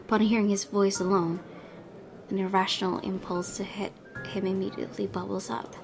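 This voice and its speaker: A woman reading a visual novel aloud as a let's play streamer, in expressive narration mixed with casual commentary. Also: mid-range voice, normal speed. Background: game music.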